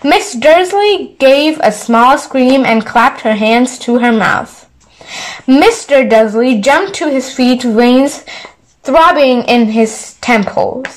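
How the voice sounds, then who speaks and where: Very slow, high-voiced woman storyteller. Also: expressive, poor audio. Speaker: storyteller, channel host. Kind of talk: read-aloud bedtime story narration.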